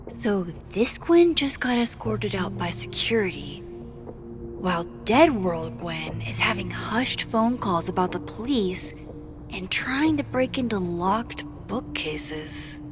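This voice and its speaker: A woman playing a wry, inquisitive young amateur sleuth in a video game, musing quietly to herself. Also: medium pitch, lively intonation, slow pace, over music. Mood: puzzled, wry. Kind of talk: naturalistic video game voice acting.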